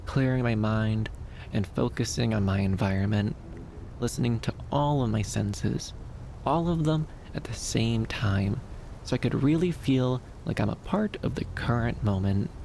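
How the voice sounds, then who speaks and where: Male actor as soft, dreamy young femboy for ASMR roleplay: shy, soothing, creaky mid-range voice, light rumble behind. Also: unhurried. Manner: soft-spoken, hesitant, soothing, with lilting upturns.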